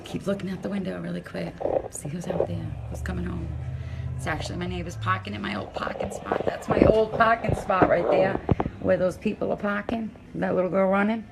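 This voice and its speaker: A middle-aged woman from Massachusetts with a non-rhotic local accent, chatting casually on a phone live stream from her home, loud and talking to viewers by name. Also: lowish voice, steady tone, fairly quick, vocal fry, very noisy. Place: home, by a window. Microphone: phone held at arm's length.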